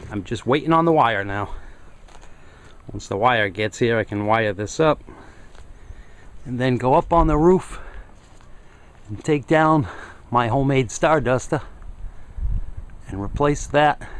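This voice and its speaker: A man's voice: mid-range voice, a little slow, long pauses, smooth. A man casually narrating a hands-on hobby project in a plainspoken, explanatory way. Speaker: hobbyist presenter. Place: home workshop and outdoor driveway. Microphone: handheld camera, close to speaker.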